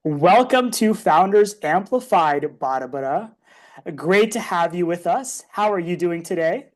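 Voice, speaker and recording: A woman hosting a podcast, welcoming a guest and asking measured, friendly interview questions. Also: lowish voice, lively intonation. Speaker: podcast host.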